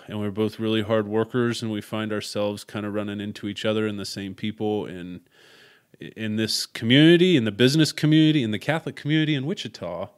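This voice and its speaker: Loud, outgoing Midwestern man, smooth voice. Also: on the low side. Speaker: podcast host. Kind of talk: casual conversational interview.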